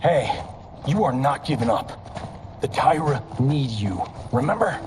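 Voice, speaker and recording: An actor playing a brash, wisecracking movie star who talks casually and jokes. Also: male voice, mid-range voice, sing-song, takes it slowly, roomy sound, noisy background. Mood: urgent.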